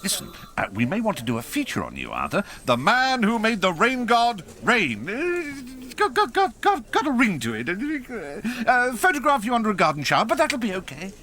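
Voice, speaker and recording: Excitable, swooping, gushing newspaper hack. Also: male voice, high-pitched, moderate pace, hardly any pauses, full character voice. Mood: excited, babbling. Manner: stammering, florid, swooping delivery. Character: excitable, gushing male newspaper journalist full of slang. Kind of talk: radio comedy drama acting.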